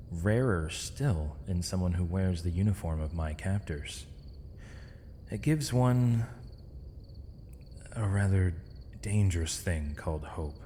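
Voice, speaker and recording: A man playing a gentle, weary, eloquent imprisoned mage in an ASMR roleplay, speaking in measured, formal, poetic phrases with thoughtful pauses. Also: low voice, slight background noise. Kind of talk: ASMR roleplay, intimate dramatic monologue. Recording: long reverb, faint night insect ambience.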